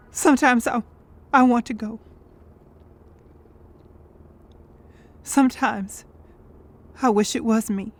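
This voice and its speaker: A woman playing a despairing, grief-stricken woman in an audio drama, speaking in broken, halting pleas. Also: a little high, natural voice.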